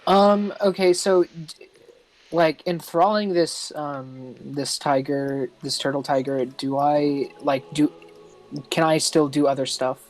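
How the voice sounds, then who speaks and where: Slow, halting male tabletop player. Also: fairly high pitch, fairly even intonation, low-quality recording. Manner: halting, thinking aloud. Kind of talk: casual game talk. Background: music.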